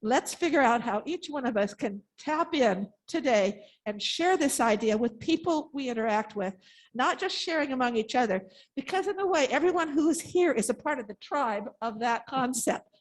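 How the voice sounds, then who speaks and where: A woman's voice: sits high, expressive, normal speed, poor audio. An elderly woman sharing heartfelt, unscripted thoughts at a church gathering in an earnest, emphatic way. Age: 70s or older. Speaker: congregation member responding to a message.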